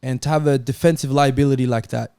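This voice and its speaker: A man's voice: medium pitch, steady tone, fast talker, creaky, dark tone, smooth, no room sound, clean studio sound. A man in a casual sports debate, arguing and questioning insistently as a panellist. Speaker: football talk show panellist.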